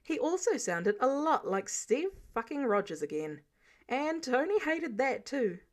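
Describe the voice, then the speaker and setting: A woman reading fiction aloud as an expressive narrator. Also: high voice, a little slow. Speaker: amateur audiobook narrator.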